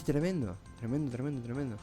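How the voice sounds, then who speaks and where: A man's voice: mid-range voice, fast. A man with a Rioplatense Argentine accent hosting a radio show casually and effusively, repeating words for emphasis. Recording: faint music behind the voice.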